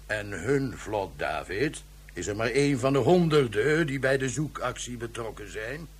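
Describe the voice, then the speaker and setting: A man's voice: medium pitch, lively intonation, normal speed, husky. An actor playing a pompous, long-winded male official who gives solemn, ornate speeches in a radio play. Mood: kindly. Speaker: dignitary giving a ceremonial speech.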